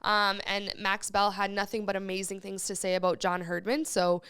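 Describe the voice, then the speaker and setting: Slightly hoarse, upbeat podcast woman. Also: mid-range voice, little pitch movement, fairly bright tone, no echo, clean studio sound. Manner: upbeat, enthusiastic, quick. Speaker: podcast co-host. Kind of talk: casual sports chat.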